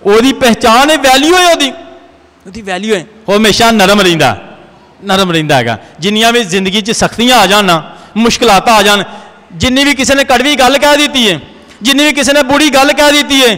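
A man's voice: high voice, fairly bright tone. A man in his thirties with a Pakistani Punjabi accent preaches a sermon emphatically as a priest, repeating phrases for effect. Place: large church, indoors at a pulpit. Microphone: gooseneck pulpit microphone. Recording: reverberant church hall.

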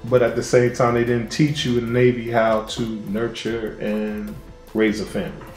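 A man interviewing an older man for a documentary, asking measured questions politely. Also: medium pitch, completely flat delivery, faint music behind. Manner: measured questioning, calls the guest sir. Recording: roomy room sound.

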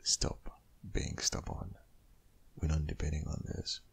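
A man playing a protective, worried boyfriend in an intimate ASMR audio roleplay, speaking insistently in a husky, half-whispered voice. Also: wildly swooping pitch, takes it slowly, heavily acted. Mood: exasperated, concerned. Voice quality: very deep, gravelly, husky, often half-whispered.

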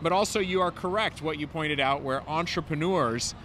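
A man's voice: on the high side, normal speed, bright, forceful tone. A man in his fifties explaining investing as an interview guest, confident and fluent, speaking into a handheld mic on a city sidewalk. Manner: fluent and confident, many "um" fillers. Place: city sidewalk outdoors, traffic passing. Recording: outdoor street recording with clear traffic noise.

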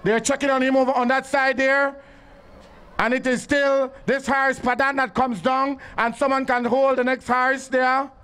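A man's voice: very high-pitched, flat delivery, moderate pace, pushed and bright, clean tone. A man calling live horse races at an outdoor track, repeating the horses' names as they run. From Belize. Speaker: race announcer. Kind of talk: live horse racing commentary. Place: outdoor racetrack.

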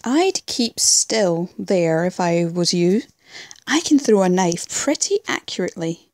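Casting: woman to play sassy, menacing fantasy rogue, animated pitch, unhurried delivery, dry close sound. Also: no echo. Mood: cool menace. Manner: taunting, sassy, self-assured. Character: cocky, mocking, menacing female rogue troublemaker. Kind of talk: fan audio drama acting.

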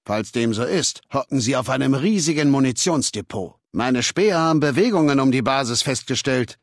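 An actor playing a cold, arrogant warlord dictator in a video game, speaking with condescending, menacing authority. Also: male voice, medium pitch, animated, a little fast, no echo. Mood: measured, authoritative. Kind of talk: video game dialogue acting. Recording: dry studio recording.

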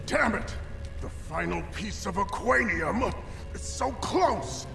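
A man's voice: high voice, lively intonation, slow pace, gravelly, full character voice. A man playing an imperious, scheming villain commander in a video game, commanding and melodramatic. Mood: impatient. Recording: echoey hall reverb. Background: dramatic music.

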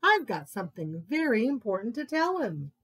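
A woman's voice: a little high, very animated, normal speed, fairly bright tone, husky, exaggerated voice. A woman voicing an eager little girl mouse in a storybook, asking earnest, urgent questions. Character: small eager girl mouse searching for her friend.

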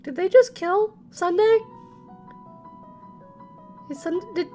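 A woman streamer reacting live to a game, excitable and dramatic, exclaiming casually with plenty of swearing. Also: very high-pitched, takes it slowly, faint music behind.

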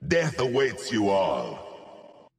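Gravelly menacing villain warrior. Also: male voice, lowish voice, huge pitch swings, very slow, heavily acted. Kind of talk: video game character voice lines. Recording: long reverb on the voice.

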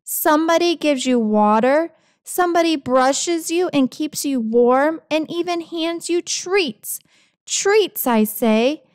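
A woman's voice: sits high, expressive, takes it slowly, no echo, studio quality. A woman voicing an indignant, scolding wild squirrel with an emphatic, preachy manner in a picture-book read-aloud. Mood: scolding, exasperated.

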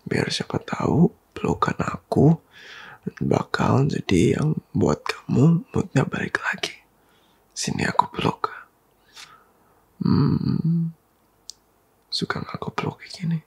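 A man whispers close and slow in a boyfriend-style ASMR roleplay, his voice heavily breathy with a gravelly edge. He coaxes and teases gently, breaking into soft laughs, and lets his pitch rise and fall freely. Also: sing-song. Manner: soft, intimate whispering, coaxing and teasing, frequent quiet laughs; mixes English words into casual talk.

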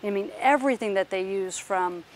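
Woman tour guide, mid pitch, explanatory, faint workshop noise behind her. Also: fairly quick. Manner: explanatory, points out parts as she walks. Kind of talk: walking factory tour. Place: large aircraft workshop indoors.

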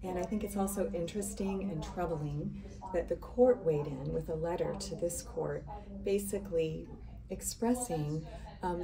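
A woman public defender giving firm, measured answers in a street interview. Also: low voice, vocal fry, recorded from a distance, poor audio.

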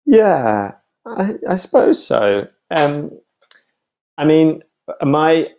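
A man's voice: fairly high pitch, very animated, drawn-out words, gravelly, a little mellow. Hesitant, thoughtful man in interview. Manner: hesitant, searching for words, often restarting phrases. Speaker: guest, writer and theatre artist. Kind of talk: thoughtful interview answers over video call. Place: home room with bookshelves, indoors. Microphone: video call, wired earphones.

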